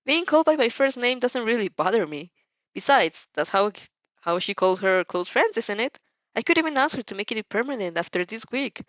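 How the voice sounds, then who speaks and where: Flustered teen boy classmate, anime-style audio story, woman performer; stammering, incredulous, swooping pitch. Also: big pitch swings, brisk, fairly bright tone, no echo, muffled recording. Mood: amused. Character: teenage boy, stunned, flustered, fumbling good-natured classmate.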